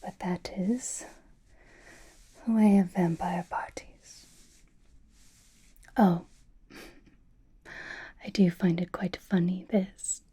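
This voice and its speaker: A woman playing an elegant, teasing female vampire noble in an ASMR roleplay, speaking in a soft, breathy whisper with a refined manner. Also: lowish voice, fairly even intonation, exaggerated voice. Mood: dry, instructing. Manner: slow, refined, wryly teasing.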